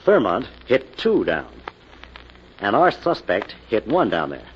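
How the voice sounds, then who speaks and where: Radio detective hero, husky, animated, commanding, assured, muffled vintage recording. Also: male voice, fairly low pitch, exaggerated voice. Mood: confident reasoning. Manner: brisk, assured, authoritative. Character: confident, commanding male amateur detective hero. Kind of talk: 1940s radio drama acting.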